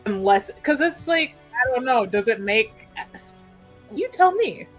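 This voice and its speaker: A woman's voice: a little high, sing-song, a little slow, soft background music, low-quality recording. A woman improvising casual narration as a tabletop roleplay player, thinking aloud hesitantly.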